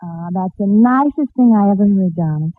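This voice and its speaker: An actress playing an affectionate, doting young sweetheart in a 1940s radio comedy, with tender theatrical delivery. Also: female voice, mid-range voice, expressive, normal speed, close to an everyday voice. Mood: tender, loving. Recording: scratchy, tinny old-time radio recording.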